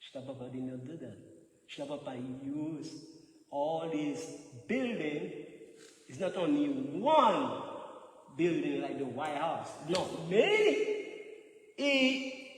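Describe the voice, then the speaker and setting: A man's voice: on the high side, sing-song, slow, pauses often. A man with a French accent giving a temple scripture class, joking and laughing often and repeating words as he searches for them. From France. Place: temple hall. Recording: echoey hall, mic from far off, faint noise.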